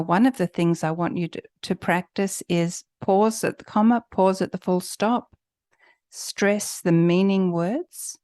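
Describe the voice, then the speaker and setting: A woman's voice: on the low side, a little mellow, dry recording, clean studio sound. A woman teacher giving an instructional lesson on pronunciation, coaching step by step and emphasizing example words. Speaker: English test preparation teacher.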